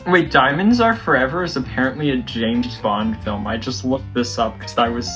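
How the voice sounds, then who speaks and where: A woman's voice: rumbling low, normal speed. Casual female co-reader with music behind. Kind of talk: casual script read-along with commentary.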